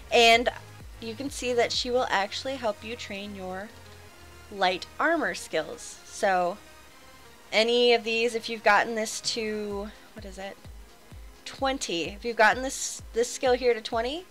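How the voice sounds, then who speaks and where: A young woman hosting a game walkthrough, her voice a touch higher than most and slightly nasal from a head cold. She chats in a bright, upbeat way and laughs easily as she guides along. Soft music sits faintly underneath. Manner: chatty, laughs often, upbeat. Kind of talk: casual gameplay walkthrough.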